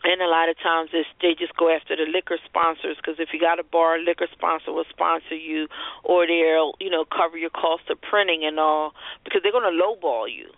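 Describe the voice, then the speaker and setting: A woman's voice: low-pitched, steady tone, fairly quick, dry recording. A woman co-hosting a radio show, giving unscripted business advice in a conversational, explanatory way over the phone. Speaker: radio show co-host and marketing strategist. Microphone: telephone. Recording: tinny phone-line call-in audio.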